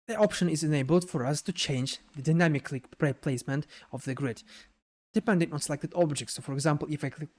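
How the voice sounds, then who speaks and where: Higher-pitched man narrating a software tutorial. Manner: halting, repeats words, frequent checking tags. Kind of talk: unscripted screen-share tutorial narration.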